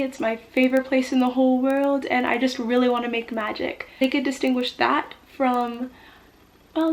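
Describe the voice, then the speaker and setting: A young woman with a fairly high voice talks casually, like a vlogger sharing tips. She is encouraging and reassuring, explaining things in an informal, conversational way. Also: darkish tone. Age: young adult. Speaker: vlogger giving interview tips. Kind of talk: casual advice to camera.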